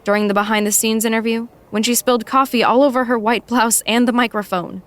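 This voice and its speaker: A woman's voice: a little high, steady tone, quick pace, close to an everyday voice. A woman playing a blunt, exasperated assistant in an audio drama, firm, articulate and dryly sarcastic. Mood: dry, brisk. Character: blunt, exasperated female assistant scolding her boss. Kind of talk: naturalistic audio drama acting.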